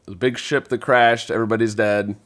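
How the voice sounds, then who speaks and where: A man hosting a relaxed, conversational group discussion as a podcast host. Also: on the low side, fairly quick. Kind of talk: casual group chat.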